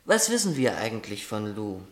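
A man's voice: fairly low pitch, very animated, normal speed. An actor playing a teasing, affectionate young man, an easygoing brother with a chatty, wry manner in a radio play. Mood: wry, reading aloud. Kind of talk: German radio play acting.

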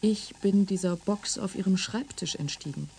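An actress playing a polite, deferential and slightly hesitant young interviewer in a radio play. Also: female voice, medium pitch, normal speed, dark tone, slightly rough. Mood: calm, insistent. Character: polite, hesitant young interviewer, secretly an alien robot envoy. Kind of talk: German radio drama acting.

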